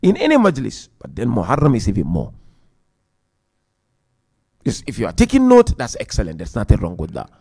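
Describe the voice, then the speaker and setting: A man giving a Muharram religious lecture, counting off numbered points, chanting Quran verses quickly, and swinging between loud emphasis and quiet asides. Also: on the low side, wildly swooping pitch, gravelly, husky. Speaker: Muharram majlis speaker.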